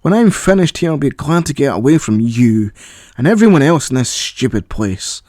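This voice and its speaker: A man's voice: mid-range voice, big pitch swings, moderate pace, a little mellow, no echo, studio quality. A man voicing a proud young boy inventor, easily irritated, in an audio story. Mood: exasperated.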